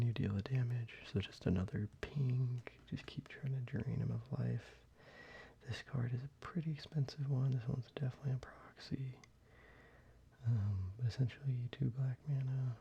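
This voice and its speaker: A man whispering softly into a close microphone in a soft-spoken ASMR video, with quiet chuckles along the way. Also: medium pitch, vocal fry, husky, highs cut off. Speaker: ASMR creator.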